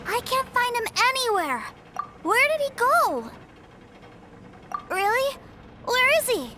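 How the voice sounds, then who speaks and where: A woman voicing an eager, innocent little boy in an anime video game, with an excitable, earnest, childlike manner. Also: very high voice, slow pace, very noisy, exaggerated voice. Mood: puzzled, wistful. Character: eager, innocent little boy who adores his big brother.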